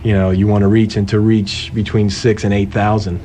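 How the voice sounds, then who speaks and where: A man's voice: fairly low pitch, flat delivery, fairly quick, dark tone, a little hiss or hum. A man with an American accent, a musician chatting casually as a radio interview guest.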